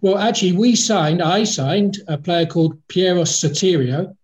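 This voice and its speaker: A man's voice: sits high, moderate pace, a little mellow. A man, a football club executive, talking as a guest in a casual interview, rambling and hesitant with many fillers and restarts. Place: in the capital of Kazakhstan.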